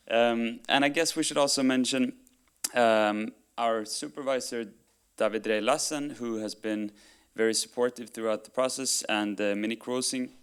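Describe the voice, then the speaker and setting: A young man presenting research to an audience in a relaxed, friendly way with hesitant fillers. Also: medium pitch, unhurried, clear, resonant tone. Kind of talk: public presentation.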